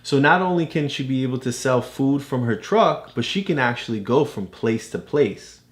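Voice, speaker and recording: Lively man explaining things to a child. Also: mid-range voice, moderate pace. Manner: patient, checks understanding with questions. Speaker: father teaching a child.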